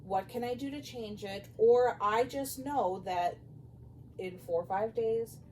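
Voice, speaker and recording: A woman's voice: a little high, measured pace, poor audio. A woman co-hosting a podcast, giving advice in a casual, emphatic, bantering way. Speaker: podcast co-host. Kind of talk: casual conversational advice talk. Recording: clear steady background noise.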